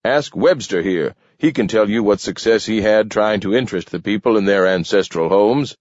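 A man voicing a brusque, practical city chief in a story, brisk and impatient. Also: fairly low pitch, dry recording, muffled recording, voice pushed up, lightly acted. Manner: brisk, snapping.

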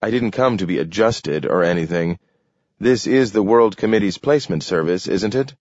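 A man's voice: lowish voice, lively intonation, moderate pace, darkish tone, no room sound. A man voicing a proud, anxious job seeker who talks in a hesitant, formal way. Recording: dull lo-fi recording.

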